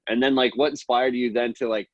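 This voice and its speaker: A man's voice: medium pitch, fairly even intonation, a little fast, no echo. A male podcast host who asks questions in a laid-back way, often calling his guest "man" and "dude". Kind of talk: casual interview questions. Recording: dry muffled podcast audio.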